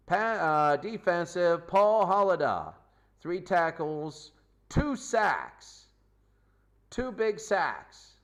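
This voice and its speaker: A man's voice: a little high, fairly bright tone. A man hosting a football show, giving a loose, rambling recap of game results and stats, often correcting himself mid-sentence. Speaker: sports show host. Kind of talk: unscripted football recap commentary.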